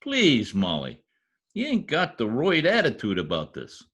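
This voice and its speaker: An actor playing a cocky, bumbling husband with folksy slang, in old-time radio comedy style. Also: male voice, medium pitch, huge pitch swings, measured pace, a bit bright, exaggerated voice. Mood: determined bluster. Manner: folksy slangy speech, blustering. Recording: husky-sounding video call recording with music.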